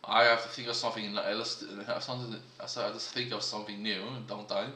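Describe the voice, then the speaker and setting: A man's voice: on the low side, level tone, brisk, sharp tone. A man streaming a game, thinking aloud to himself and chatting casually with his stream chat. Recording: echoey room with faint noise.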